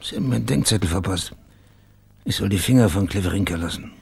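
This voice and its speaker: An actor playing a tough, cynical private investigator with a dry, clipped delivery in a German radio crime drama. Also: male voice, sits low, fast, gravelly, slightly rough, exaggerated voice. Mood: grim, warning.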